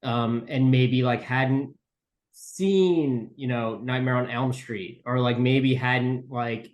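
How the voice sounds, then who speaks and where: A man's voice: medium pitch, takes it slowly. A man speaking casually as a podcast guest, telling how a creative project began, with plenty of conversational filler words. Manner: conversational, frequent 'like' and 'you know'. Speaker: podcast guest, screenwriter and co-creator of an audio drama. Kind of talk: casual interview answers.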